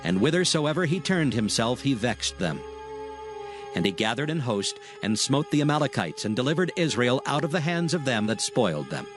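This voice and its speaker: A man's voice: medium pitch, very animated, normal speed, over music. A man narrating a dramatized scripture recording as a solemn storyteller.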